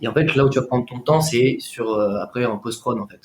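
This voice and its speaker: A man's voice: medium pitch, brisk, a little hiss or hum. A man from the Paris region speaking as an interview guest, reflective and full of anecdotes about his photography. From Paris region, France. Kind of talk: casual interview chat.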